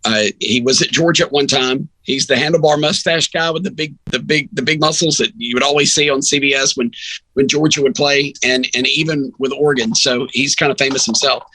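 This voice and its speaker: A man's voice: a little high, pushed and bright, slightly rough, no room sound, low-quality recording. A man who works as a sports radio announcer, talking casually as a guest in a rambling, anecdotal way. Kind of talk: casual sports chat and storytelling.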